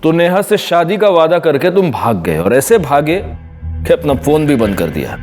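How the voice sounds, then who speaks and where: सख़्त पूछताछ करता पुलिस अफ़सर. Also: male voice, a little high, very animated, moderate pace. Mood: accusing. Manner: accusing, firm. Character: stern male police officer interrogating. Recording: music score underneath.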